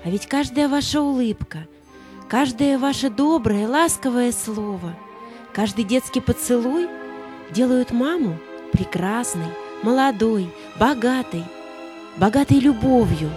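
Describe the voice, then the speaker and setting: A loving young mother spirit, played by a woman, with a fairly high, soft voice. She speaks tenderly and caressingly in a fairy-tale audio play, over faint music. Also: animated. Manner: tender, unhurried, caressing address.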